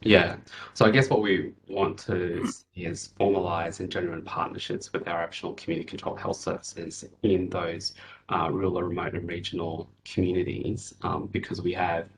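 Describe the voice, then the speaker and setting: A man in his thirties gives testimony at a hearing over a video call. His voice is very low and flat, and he speaks in a measured way with many fillers. A faint noise hangs behind him.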